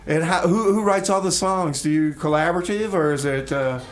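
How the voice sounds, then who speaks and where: A man's voice: on the high side, a little fast. A man hosting a community radio show, talking casually and folksily, often hesitating and repeating words. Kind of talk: casual rambling on-air talk. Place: small radio studio. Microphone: studio microphone.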